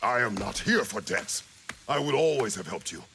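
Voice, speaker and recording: An actor playing a grim, battle-weary warrior father in a video game, speaking tersely in measured, solemn, formal phrases with a very deep, gravelly voice. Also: very animated, background noise. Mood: firm, defiant. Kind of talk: dramatic video game acting. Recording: music under the voice.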